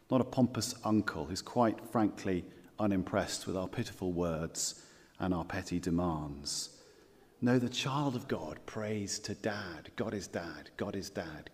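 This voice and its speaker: A man preaching a sermon as pastor, earnest and measured, repeating key phrases and slowing down for scripture quotes. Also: fairly low pitch, darkish tone, in a large hall.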